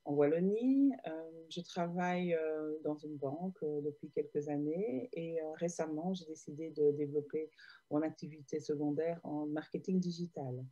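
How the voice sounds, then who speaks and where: A woman's voice: low voice, moderate pace, mellow, dull audio. A woman from Belgium, a guest explaining her digital marketing work in a hesitant, filler-heavy way over a video call. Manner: hesitant, many fillers and restarts. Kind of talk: casual interview answers.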